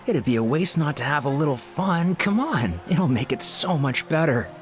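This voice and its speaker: A man voicing a shady, pushy drug dealer in a video game, slick and coaxing but quick to get defensive. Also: fairly high pitch, sing-song, soft background music.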